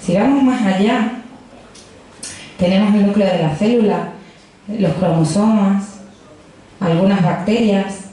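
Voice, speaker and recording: A woman's voice: mid-range voice, measured pace, long pauses, warm tone. A young woman from Extremadura with a Badajoz accent, a physicist giving a chatty popular science talk into a handheld mic in a pub. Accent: Badajoz Spanish. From Extremadura, Spain. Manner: chatty, jokey, addresses audience directly. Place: crowded pub, indoors. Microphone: handheld microphone. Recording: echoey pub room with faint noise.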